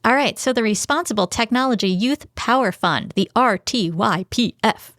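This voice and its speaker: A woman's voice: a little high, normal speed, fairly bright tone, dry recording, studio quality. A woman co-hosting a podcast in a conversational discussion style, partly reading from notes. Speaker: podcast co-host. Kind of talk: conversational podcast discussion with some read copy.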